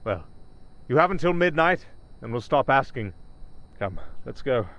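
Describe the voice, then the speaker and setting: A man playing a sly, menacing werewolf gang leader in an ASMR roleplay, smooth-talking and mocking with false politeness. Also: mid-range voice, big pitch swings, unhurried, fairly bright tone, dull audio. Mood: scornful, threatening. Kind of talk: ASMR roleplay villain acting.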